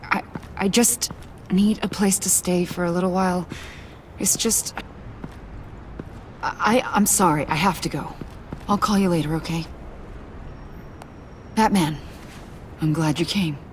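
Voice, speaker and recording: An actress plays a troubled, guilt-ridden woman in a video game. She speaks slowly and haltingly in a slightly low, earnest voice, over faint background noise. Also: steady tone, close to an everyday voice. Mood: flustered, apologetic. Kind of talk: video game voice acting, naturalistic.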